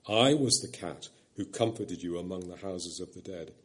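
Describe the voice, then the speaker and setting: A man reading a storybook voicing a vast, ancient lion that speaks deep, low and slow with calm authority. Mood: solemn. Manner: grave, unhurried, gentle authority.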